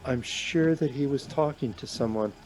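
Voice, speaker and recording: A man's voice: normal speed, a little mellow, close to an everyday voice. An actor playing a numb, guilt-stricken man traveller in a radio play, speaking in a hesitant, subdued way. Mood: haunted, hesitant. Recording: background noise with car rumble.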